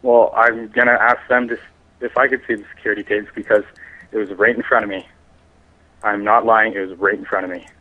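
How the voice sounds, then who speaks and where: A man's voice: lowish voice, level tone, a little fast. A man answering questions over the telephone in a defensive, insistent manner. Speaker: prank call target. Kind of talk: answering questions on a phone call. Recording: muffled, narrow phone line.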